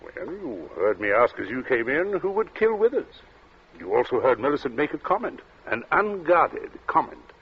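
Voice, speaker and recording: An actor playing a cold, urbane captain in an old radio thriller, speaking in a suave, measured way with polite menace and small hesitant asides. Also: male voice, medium pitch, very animated, slightly rough. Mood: smooth, controlled. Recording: dull, noisy vintage radio recording.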